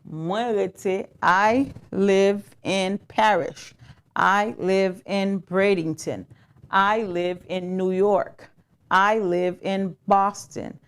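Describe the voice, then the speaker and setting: Woman, Haitian Creole accent, English teacher, unhurried, writes as she talks, repeats words. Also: mid-range voice. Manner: slow, repeats phrases while writing them out. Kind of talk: live online language lesson.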